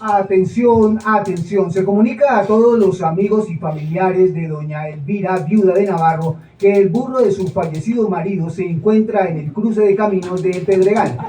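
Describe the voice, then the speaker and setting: An actor playing a radio co-presenter on stage, making mock-formal announcements with sardonic comments. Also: male voice, high voice, normal speed, hardly any pauses, mellow, natural voice. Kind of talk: stage acting of a radio broadcast. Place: small theater stage. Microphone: far camera. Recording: echoey room from far off.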